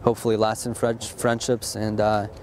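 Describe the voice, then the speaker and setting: Young army guy, talks plain and steady, flat monotone, faint noise outside. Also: on the low side, normal speed. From born in Russia, raised in Texas. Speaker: army officer. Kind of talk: casual interview answers.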